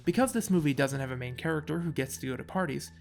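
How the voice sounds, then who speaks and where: Man, casual essay narration, wry, slightly creaky, light music behind. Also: a little high, expressive, quick pace. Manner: wry, sarcastic asides. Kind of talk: casual scripted video essay commentary.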